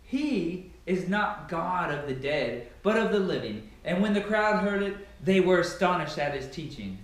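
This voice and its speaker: A man's voice: high-pitched, animated, measured pace. A man giving a solemn, declamatory dramatic reading of scripture as an amateur reader. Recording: very echoey, reverberant room.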